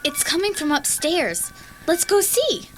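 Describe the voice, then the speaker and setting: Bold, curious girl for kids' horror tape: high, animated, exclamatory. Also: a little slow, music in the background, heavily acted, low-quality recording. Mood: uneasy. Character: bold, curious young girl exploring a haunted mansion. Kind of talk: children's audio horror drama acting.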